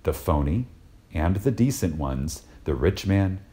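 A man reading a spiritual lecture aloud in a reverent, dramatic style, deliberate and emphatic, with pauses for effect. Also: sits low, lively intonation, warm tone.

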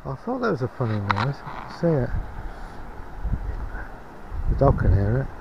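A man's voice: medium pitch, sing-song, normal speed. A man giving a casual running commentary on a clifftop walk, chatty and pointing out the sights as he goes, recorded outdoors on an action camera. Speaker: vlogger filming a coastal walk. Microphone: handheld action camera. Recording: dull camera audio with outdoor wind noise.

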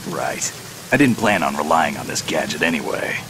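Gruff low american soldier loner, video game type, terse n dry, gravelly, noisy rain behind. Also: male voice, animated, normal speed. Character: gruff, stoic veteran male infiltration soldier, sardonic loner. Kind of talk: video game cutscene acting, radio dialogue.

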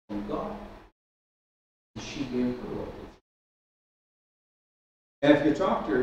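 A man's voice: mid-range voice, far from the mic, lo-fi sound, slight background noise. An older man preaching a sermon from a lectern, telling a personal story with many restarts. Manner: storytelling, animated with hand gestures, frequent restarts. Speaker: pastor. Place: church hall with stage and keyboard. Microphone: stand mic at lectern, far camera. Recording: echoey hall, speaker off mic.

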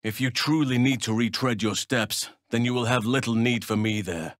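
An actor playing a wise, gentle and steadfast elf druid in a fantasy video game, speaking in measured, formal phrases. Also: male voice, medium pitch, no room sound, clean studio sound, lightly acted. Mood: earnest, measured. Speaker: video game companion character. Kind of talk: acted fantasy game dialogue.

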